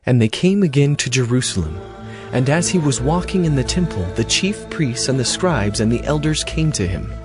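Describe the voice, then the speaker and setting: A man narrating a dramatized scripture reading in a steady, even way. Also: medium pitch, normal speed. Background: music.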